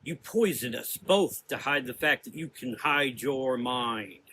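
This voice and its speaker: A man playing a menacing, cerebral gothic sorcerer in an audio drama, lecturing deliberately in drawn-out, taunting phrases. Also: mid-range voice, expressive, pushed and bright, slight background noise. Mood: accusing.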